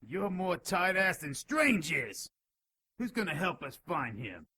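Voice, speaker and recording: Cocky demon snitch for radio play: bright, rough-edged, sleazy, indignant wisecracker. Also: male voice, high voice, big pitch swings, takes it slowly, heavily acted. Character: whiny, cocky, wisecracking male demon informant. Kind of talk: audio drama acting.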